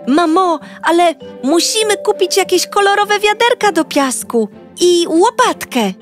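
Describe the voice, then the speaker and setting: A woman narrating a children's audiobook as a cheerful young girl, talking brightly and directly to young listeners. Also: very high-pitched, lively intonation, a little slow, soft background music. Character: cheerful young girl telling her own story. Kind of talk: first-person storybook narration for children.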